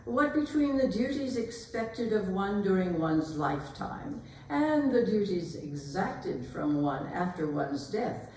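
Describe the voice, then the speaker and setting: In a very echoey hall, far from the microphone, a woman plays an imperious society mother. She delivers pompous verdicts with lively, swooping intonation, as in a period stage comedy. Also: medium pitch, slow pace, few pauses, a little hiss or hum. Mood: haughty, imperious. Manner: pompous, pronouncing grand opinions. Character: imperious upper-class older mother interrogating a suitor. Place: performance hall, recorded from a distance.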